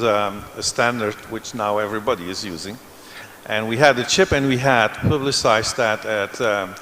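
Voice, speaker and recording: A man's voice: mid-range voice, fairly bright tone, a little hiss or hum. A man from Belgium speaking on a conference panel, telling a long, rambling anecdote. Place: large conference hall. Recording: reverberant conference hall.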